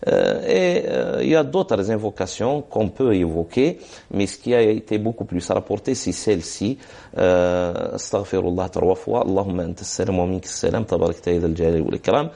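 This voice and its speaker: A man's voice: sits low, moderate pace, gravelly, mellow. A man giving religious advice on a TV program, speaking hesitantly with many fillers and reciting Arabic formulas. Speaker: religious scholar answering questions. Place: TV studio.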